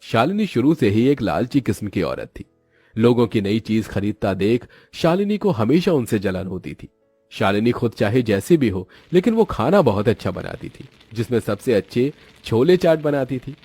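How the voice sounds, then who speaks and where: Husky male storyteller with expressive pitch. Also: moderate pace, no echo, soft background music. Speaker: story narrator. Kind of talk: scripted Hindi children's story narration.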